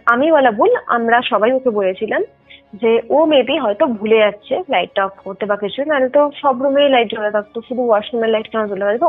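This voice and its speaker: A woman's voice: a little high, slightly rough. A young woman tells a personal story casually over the phone, explaining details and mixing in English words. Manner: explanatory, mixes in English words, often adds check-in tags. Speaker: caller telling a personal ghost experience. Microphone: phone line. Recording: tinny phone call with music underneath. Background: background music.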